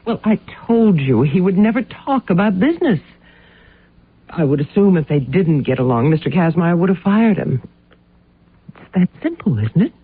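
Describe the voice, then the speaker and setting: A woman's voice: on the low side, sing-song, moderate pace, breathy edge, no room sound, full character voice. An actress playing an evasive, defensive housewife under questioning in a radio drama, hesitant and guarded in her delivery. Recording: muffled narrow-band old radio sound.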